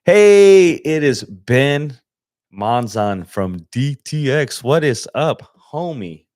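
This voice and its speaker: A young man from Los Angeles chatting casually on a livestream as he edits photos, relaxed and slangy, thinking aloud with fillers. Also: medium pitch, slow, dry recording, clean studio sound. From Los Angeles, USA. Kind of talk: casual livestream chat while editing. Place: home desk setup.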